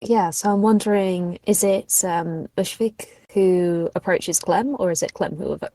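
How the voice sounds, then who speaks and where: A woman's voice: lowish voice, a little slow, mellow, dry recording. A woman setting scenes as a tabletop game player, tentative and questioning.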